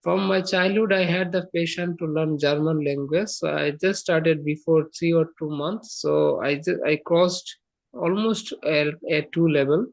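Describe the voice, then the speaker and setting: A man's voice: on the high side, measured pace, creaky, rough recording. A man learning English over a video call, polite and deferential, speaking English as a second language. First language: not English. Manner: polite, deferential, says ma'am. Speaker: language student. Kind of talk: polite student conversation.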